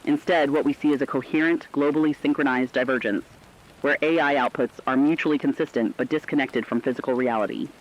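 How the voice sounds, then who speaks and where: A man's voice: medium pitch, fairly even intonation, fairly quick, lightly acted. A man playing a serious crisis correspondent in a radio drama, giving formal scripted analysis on a dull, lo-fi recording. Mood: serious, alarmed.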